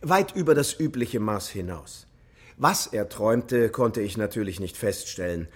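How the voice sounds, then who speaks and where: An actor playing a precise military psychologist giving factual, clinical testimony in a radio drama. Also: male voice, fairly low pitch, lively intonation, a little slow, slightly rough.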